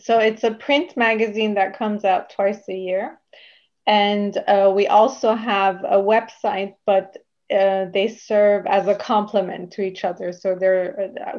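A woman's voice: medium pitch, steady tone, a little slow. A woman from Luxembourg, a magazine founder, answering interview questions in a matter-of-fact way over a video call. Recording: slightly dull sound.

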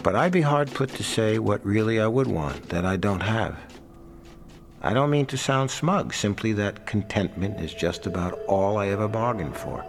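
Echoing, low-voiced older American writer. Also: male voice. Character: sixty-year-old blacklisted writer father, wry, proud, weary. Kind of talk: radio drama, reading out letters and diary. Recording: echoey reverberant hall, music behind.

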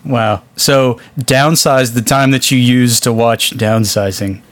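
A man with a mid-pitched voice hosts a casual podcast chat. He talks in a joking, conversational way, and the sound is very dry, with no room echo. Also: fairly even intonation, slow. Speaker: film discussion podcast host.

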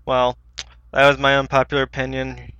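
Bright, clear-voiced man on dull dry audio. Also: mid-range voice, completely flat delivery, moderate pace, no room sound. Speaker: podcast co-host. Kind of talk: casual chat about video games.

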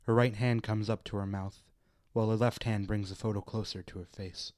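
A man narrating a radio drama, reading stage directions in a deliberate, literary storytelling manner. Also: on the low side, fairly even intonation, darkish tone, no echo, clean studio sound.

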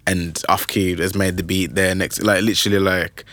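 A young man with a low voice talks music on a podcast. He rambles and restarts with lively energy. The sound is dry and close, with no room around it. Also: no echo. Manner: rambling, animated, quick restarts. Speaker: podcast host. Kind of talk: casual music chat.

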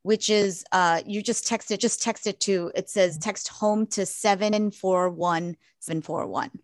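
A woman clinician who works with children and teens speaks in a measured, halting way in a casual interview, with many restarts. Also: medium pitch, steady tone, no room sound. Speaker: child and adolescent mental health clinician.

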